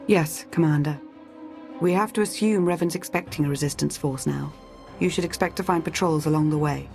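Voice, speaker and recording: Low-voiced, composed woman officer. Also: vocal fry, darkish tone. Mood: focused, briefing. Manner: measured, formal, controlled. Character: composed, firm female intelligence officer, calmly strategic. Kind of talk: video game cutscene acting. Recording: game music behind the voice.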